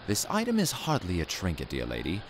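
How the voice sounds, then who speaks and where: A mysterious door-to-door salesman with a very deep voice that swings widely in tone, as a man acts him out. His speech is formal and courteous, as in an audio drama. Faint room ambience sits behind him. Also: huge pitch swings, a little fast, noisy background. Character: mysterious polite male salesman dealing in calendars.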